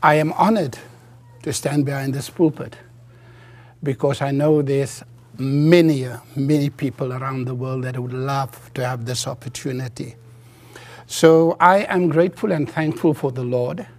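A South African man whose first language is Afrikaans, preaching from a church pulpit as a guest preacher, earnest, with halting restarts. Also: mid-range voice, fairly even intonation. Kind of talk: sermon.

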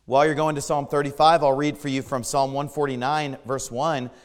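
Hoarse-voiced man preaching in a hall. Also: mid-range voice, flat delivery, a little fast. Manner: emphatic preaching with rhetorical questions. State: strained voice from overuse. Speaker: pastor. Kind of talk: sermon.

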